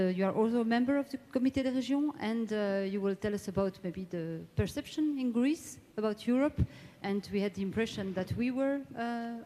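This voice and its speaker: Woman, Belgian French accent, non-native English, debate moderator, halting phrasing, mellow tone, reverberant conference hall. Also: mid-range voice. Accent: French-speaking Belgian. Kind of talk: live panel introduction at a conference. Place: large indoor conference hall, amplified. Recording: long echo of a conference hall.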